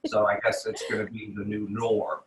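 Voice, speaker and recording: A man's voice: on the low side, little pitch movement, normal speed, a loud crowd. An American man from New York City talking casually as a chef being interviewed, often repeating himself and restarting his sentences. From New York City, United States. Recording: roomy echo.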